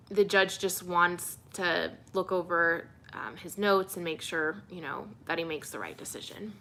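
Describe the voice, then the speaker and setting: Soothing bright-toned woman reporter. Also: lowish voice, vocal fry. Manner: relaxed, answers viewer questions with many fillers. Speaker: TV news reporter. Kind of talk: casual livestream Q&A.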